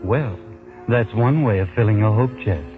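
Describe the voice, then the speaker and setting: An actor playing a wry, knowing American narrator of a 1940s radio mystery, sardonically addressing the characters. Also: male voice, lowish voice, moderate pace, natural voice. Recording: muffled 1940s radio recording. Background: music.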